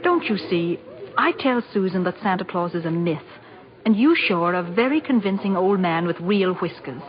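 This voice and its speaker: An actress playing a brisk, skeptical working mother, earnest, firm and polite, in 1940s radio drama style. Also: lowish voice, expressive, close to an everyday voice. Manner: earnest, firm, polite, hesitant when uneasy. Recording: muffled, hissy 1940s radio broadcast.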